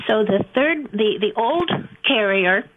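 An older woman calling in to a radio show, speaking hesitantly with many pauses and restarts. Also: a little high, animated, phone-quality sound. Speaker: caller.

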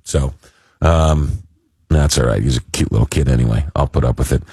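A man hosting a radio call-in show, talking casually and haltingly in a droll way, slightly hoarse from a cold. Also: a bass voice, darkish tone, no room sound, studio quality. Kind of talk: casual live talk radio monologue. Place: radio studio. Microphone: close broadcast mic.

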